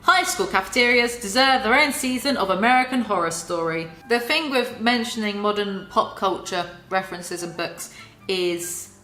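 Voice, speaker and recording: A woman reads aloud with mock drama and keeps cutting in with sarcastic asides. Her voice is bright and sharp. The sound carries a noticeable roomy echo. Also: mid-range voice, normal speed, faint music behind. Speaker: YouTuber reading and mocking a book. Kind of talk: dramatic reading with sarcastic commentary.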